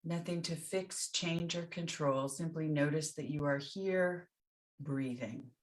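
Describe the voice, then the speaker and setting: A woman leading a guided meditation as a teacher, speaking gently and soothingly in short phrases with pauses between them. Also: sits low.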